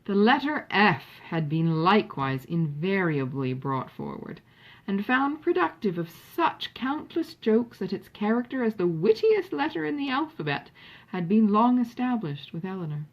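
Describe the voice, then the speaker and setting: A woman narrates a classic novel with animated, rising and falling intonation. Her voice is mid-pitched with a husky edge. The recording sounds slightly muffled. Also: normal speed. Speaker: audiobook reader. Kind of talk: expressive literary narration.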